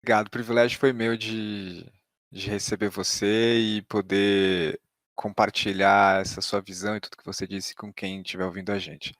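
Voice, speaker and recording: A man's voice: lowish voice, little pitch movement, normal speed, a bit bright, no room sound. A Brazilian man hosting a podcast interview in an informal, conversational way with tentative phrasing. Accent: Brazilian Portuguese. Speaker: podcast host.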